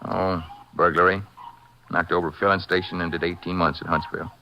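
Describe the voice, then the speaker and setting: An actor playing a defensive ex-convict rancher in a 1950s radio drama, talking in a nervous, protesting way. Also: male voice, sits low, little pitch movement, a little fast, slight background noise, lightly acted. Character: defensive ex-convict rancher, man in his thirties. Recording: muffled old radio broadcast.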